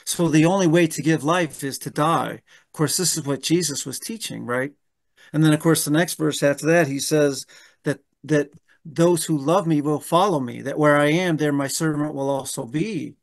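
A gravelly, slightly high-voiced man in his fifties teaches a Bible study over a webcam call from his home. He talks things through aloud, restarting his sentences and checking in with the group with a rhetorical "right?". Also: moderate pace. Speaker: pastor leading a Bible study. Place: room at home. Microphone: webcam on a video call.

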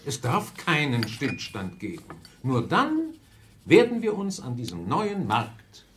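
An actor playing a zealous, self-important business executive, speaking emphatically in a radio drama. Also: male voice, medium pitch, huge pitch swings, slow pace, noisy background. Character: zealous, restless business executive pushing gimmicky innovations.

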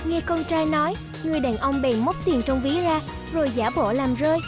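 Woman narrator for a kids story, high voice, music under it. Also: a little fast. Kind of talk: scripted children's story narration.

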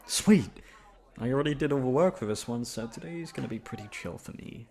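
A young man playing a shy, easygoing college classmate in an ASMR roleplay, talking casually in a hesitant, halting way. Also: medium pitch, expressive, a little mellow, natural voice. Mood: casual, friendly. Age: young adult. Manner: hesitant, halting, awkward chuckles. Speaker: voice actor. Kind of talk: ASMR roleplay audio drama, casual conversational.

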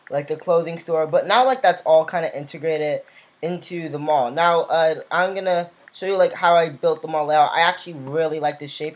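Chatty young woman, slightly low voice. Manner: chatty, frequent "like" and "guys", self-restarts. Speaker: game tutorial creator. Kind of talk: casual voice-over tutorial.

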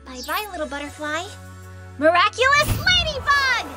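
Plucky teen superheroine, cartoon game, super high swoopy voice. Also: female voice, big pitch swings, moderate pace, over loud music. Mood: confident. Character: plucky, confident teenage superheroine. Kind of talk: animated game acting.

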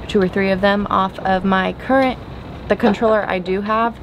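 Young woman, chatty vlog talk, handheld camera at arm's length, outdoors on a boat, noisy wind behind. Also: mid-range voice. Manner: chatty, thinking aloud with fillers. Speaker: sailing vlogger. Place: outdoors on a sailboat deck at anchor. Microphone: camera held at arm's length.

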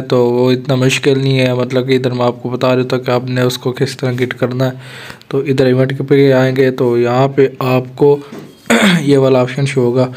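Pakistani male gaming host, flat delivery, chatty voice-over walkthrough. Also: mid-range voice. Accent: Pakistani Urdu. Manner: chatty, repeats filler "matlab" often. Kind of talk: casual screen-recorded game tutorial. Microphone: voice-over on a screen recording.

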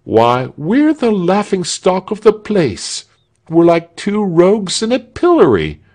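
A man's voice: high voice, wildly swooping pitch, takes it slowly, darkish tone, voice pushed up, heavily acted. Man doing a thin, indignant old-style soldier. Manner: indignant, old-fashioned speech. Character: thin complaining soldier, proud and old-fashioned.

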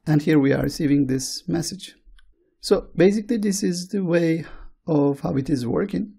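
A man with a Turkish accent, a native Turkish speaker, walking through code slowly, step by step, in a screencast tutorial. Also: on the high side, creaky, dark tone, slightly rough. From Turkey. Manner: slow, step-by-step walkthrough. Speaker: programming tutor.

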